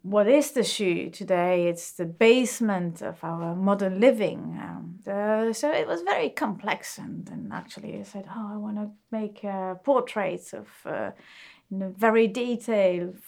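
Halting Austrian-born woman shoemaker. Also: medium pitch, gravelly, darkish tone. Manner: halting, searching for words, many fillers. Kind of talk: interview answers.

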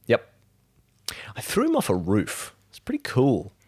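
A man's voice: mid-range voice, huge pitch swings, measured pace, a little mellow, slightly rough. A man improvising in-character chat and narration for a role-playing podcast, with music behind him. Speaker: player in an actual-play role-playing podcast.

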